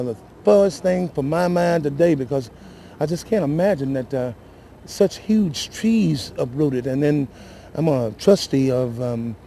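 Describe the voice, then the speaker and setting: Male local official, creaky mellow voice, halting and shaken, live interview over background noise. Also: on the high side. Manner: halting, repetitive, emotional and earnest. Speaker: city councilman giving interview. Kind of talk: unscripted live news interview.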